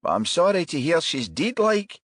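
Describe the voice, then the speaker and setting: A man's voice: fairly high pitch, huge pitch swings, moderate pace, a bit bright, no echo, clean studio sound, pitched above the performer's own voice, heavily acted. A man voicing a sullen, defensive man in his late twenties for an audiobook. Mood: offhand, sullen. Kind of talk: audiobook character dialogue.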